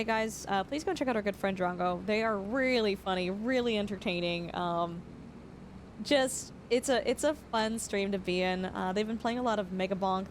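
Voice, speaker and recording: A young woman streaming a farming game, chatting casually and explaining facts with lots of fillers, her voice slightly hoarse. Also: medium pitch, slight background noise. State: voice going hoarse. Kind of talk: casual live-stream chat.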